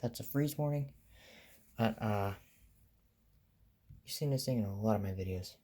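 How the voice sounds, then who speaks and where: A man's voice: fairly low pitch, normal speed, heavy background noise. A man giving a casual, rambling show-and-tell as a hobbyist vlogger, offhand and full of detail.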